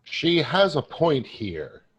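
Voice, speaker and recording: A man playing a leering, disdainful office worker in a staged reading. The voice is husky and wry, with lively rises and falls. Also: on the high side, takes it slowly, exaggerated voice.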